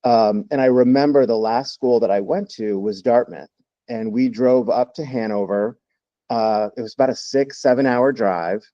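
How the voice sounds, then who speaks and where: A man in his fifties from Texas talks with a mellow, dark tone, sounding a little dull and lo-fi over a dry line. He tells his story in a relaxed, reflective way with plenty of fillers. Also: mid-range voice, normal speed, no echo. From Texas, United States. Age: 50s. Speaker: guest. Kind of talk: interview storytelling.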